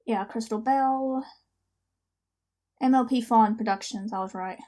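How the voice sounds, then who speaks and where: A woman hosting a reaction video, commenting casually and spontaneously with excitable fangirl exclamations. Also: fairly high pitch, a little slow.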